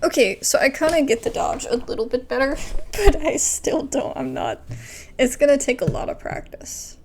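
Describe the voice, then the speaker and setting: A woman's voice: sits high, lively intonation, moderate pace, a little hiss or hum. A young adult woman giving chatty, casual gameplay commentary as she plays. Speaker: gameplay streamer.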